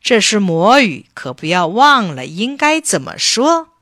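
A woman voicing a kindly, patient old grandfather in a children's story, gently coaxing and instructing. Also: medium pitch, sing-song, a little slow, no echo, clean studio sound, voice pushed up, full character voice. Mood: mysterious, instructive.

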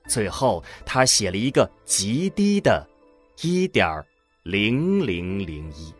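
A man narrating a scripted science fiction audio drama in a dramatic storytelling manner, landing phrase endings deliberately. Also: mid-range voice, slightly rough. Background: music under the voice.

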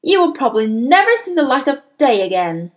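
A woman's voice: high-pitched, sing-song, fairly quick, voice pushed up. A woman voicing an enraged, tyrannical headmistress in a storybook, bellowing venomous insults and bullying threats. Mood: ranting rage.

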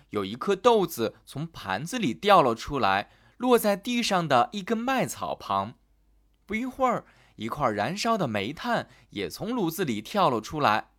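A man narrating a fairy tale for children in a gentle, sing-song storytelling manner. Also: fairly high pitch.

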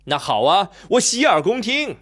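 A man's voice: sits high, sing-song, moderate pace, a bit bright, exaggerated voice. A man playing an inquisitive young reporter in a radio drama, polite but sceptical, with a questioning manner. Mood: polite, probing. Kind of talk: Chinese radio drama acting.

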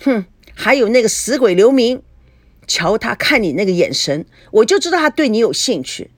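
A jealous, sharp-tongued mother in an audio story, acted by a woman narrator. She scolds and accuses with coarse sarcasm, her high voice swinging widely in a dry, close recording. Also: big pitch swings, moderate pace, no room sound. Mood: sour, accusing. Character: jealous, shrewish mother, foul-tempered and suspicious.

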